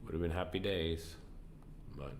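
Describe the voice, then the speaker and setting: Stuffy-nosed tired man, very low voice. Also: moderate pace, slight background noise. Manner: hesitant, thinking aloud with fillers and restarts. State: congested, stuffy nose; tired from lack of sleep. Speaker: trading stream host. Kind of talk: casual live stream chat.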